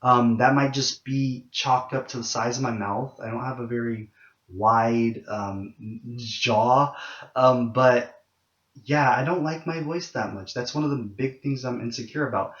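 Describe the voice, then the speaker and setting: Candid young man vlogging in a dull, roomy recording. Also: measured pace. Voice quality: low voice. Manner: candid and rambling, many ums and restarts. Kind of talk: casual monologue to camera.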